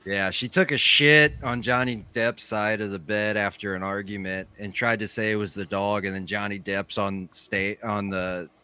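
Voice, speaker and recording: Man with a bright, fairly low voice. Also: steady tone, normal speed, few pauses, clear, resonant tone. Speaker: podcast guest. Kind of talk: casual chat.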